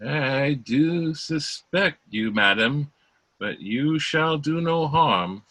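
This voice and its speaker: A man playing a wary, principled court doctor in a Shakespeare read-through, speaking in a deliberate theatrical way. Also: fairly high pitch, animated, sharp tone, no echo, exaggerated voice. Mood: suspicious, confiding. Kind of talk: Shakespeare play read aloud. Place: remote video call.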